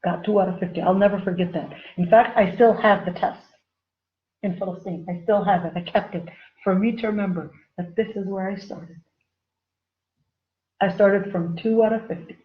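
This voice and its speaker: A woman's voice: medium pitch, steady tone, moderate pace. Chatty woman teacher, dull recording. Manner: chatty, repeats phrases for emphasis, addresses viewers as "you guys". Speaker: Islamic studies teacher. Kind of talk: informal online lecture.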